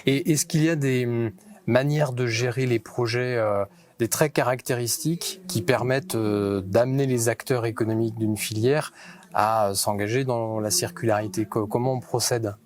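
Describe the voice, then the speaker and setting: French man interviewing in measured, hesitant phrases. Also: mid-range voice, fairly even intonation. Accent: French (France). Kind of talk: interview questioning.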